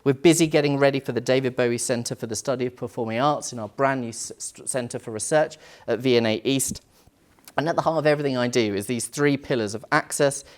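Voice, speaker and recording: A man, a museum curator on a panel, speaking in an earnest, reflective way and posing rhetorical questions. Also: mid-range voice. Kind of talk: panel talk.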